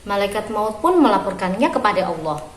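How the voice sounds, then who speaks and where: A woman reading a story aloud for young children, phrasing expressively and deliberately with pauses. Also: mid-range voice, big pitch swings. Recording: roomy echo with clear background noise.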